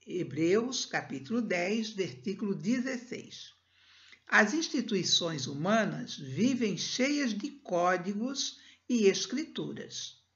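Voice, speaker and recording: An older woman from Brazil giving a spiritist talk, reading a passage with slow, measured phrasing and then leading a soft prayer, recorded over a laptop webcam at home. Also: mid-range voice. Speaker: spiritist lecturer. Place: home room, indoors. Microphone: laptop webcam on a video call. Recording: dull lo-fi video call audio.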